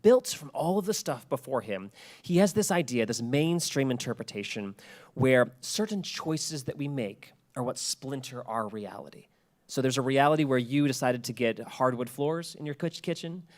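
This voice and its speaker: A man's voice: mid-range voice, expressive, moderate pace. A man giving an informal public lecture in a chatty, colloquial storytelling way with asides. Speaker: presenter at a public talk.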